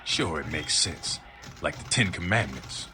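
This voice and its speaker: An actor playing a blunt, wary, streetwise vampire war veteran in a video game, talking in gruff street slang. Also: male voice, rumbling low, lively intonation, unhurried, background noise. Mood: blunt, earnest. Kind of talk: video game character dialogue. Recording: game music behind the voice.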